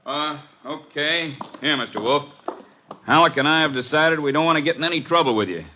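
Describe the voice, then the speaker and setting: An actor playing a tough, cocky con man with rough, slangy talk in a 1950s radio drama. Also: a little high, brisk, sharp tone. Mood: grudging. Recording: dull, noisy vintage radio recording.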